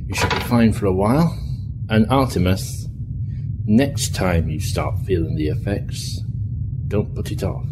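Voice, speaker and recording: Sci-fi audio drama doctor, played by a man: low male voice, clinical and firm, over clear background noise. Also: animated. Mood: grave, patient. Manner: measured, admonishing, clinical. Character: starship doctor, patient but firm, scolding man. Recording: clearly noisy recording with background ambience.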